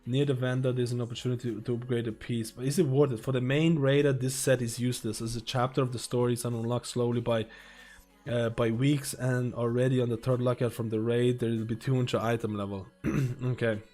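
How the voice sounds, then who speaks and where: This is a male streamer at a mid-range pitch, reading a guide aloud and breaking off into relaxed asides. His voice is wearing thin, and he stumbles and restarts words as he goes. Soft music plays faintly behind him. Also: a little fast. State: losing his voice. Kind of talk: live-stream chat, reading a guide and viewer questions aloud.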